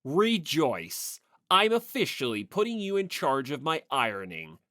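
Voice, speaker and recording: A haughty young heir from a manga audiobook, put on by a narrator. The voice drops low and takes its time, cold and pompous, with disdain in the phrasing. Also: big pitch swings, unhurried, bright, forceful tone, dry recording, clean studio sound. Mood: disdainful. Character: haughty, cold, arrogant rich teenage boy.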